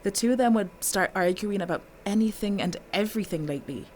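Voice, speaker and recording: A woman's voice: medium pitch, quick pace, darkish tone, slight background noise, close to an everyday voice. An actress playing a bitter, exasperated female witness in an audio drama, blunt and indignant. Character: bitter, exasperated woman giving a witness statement.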